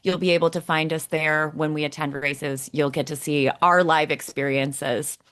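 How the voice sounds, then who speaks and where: A woman's voice: sits low, fairly even intonation, normal speed, fairly bright tone, clean tone. A woman co-hosting a podcast, explaining things in a conversational presenting style. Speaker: podcast co-host.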